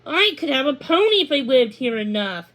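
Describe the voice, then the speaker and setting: Woman voicing an excitable little girl, high-pitched. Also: normal speed. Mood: amazed. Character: excitable young pony-loving girl, Pippa.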